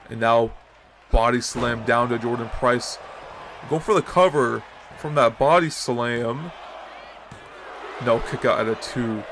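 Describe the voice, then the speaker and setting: A man with a stuffy nose and sore throat calling a wrestling match as host, excitable and jumping to shouts on big moves. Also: mid-range voice, slight background noise. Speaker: wrestling commentator and show host. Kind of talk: play-by-play commentary.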